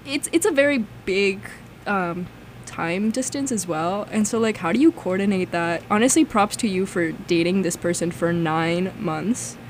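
A young woman co-hosting a casual discussion, telling stories in an animated way and peppering her talk with "like". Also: mid-range voice, moderate pace, slight background noise. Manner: animated storytelling, heavy use of "like". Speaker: podcast co-host.

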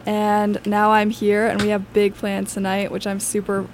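A woman's voice: medium pitch, monotone, normal speed, a little hiss or hum. A young woman from Los Angeles, a student radio co-host chatting in a bubbly, enthusiastic way. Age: young adult. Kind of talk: casual chat.

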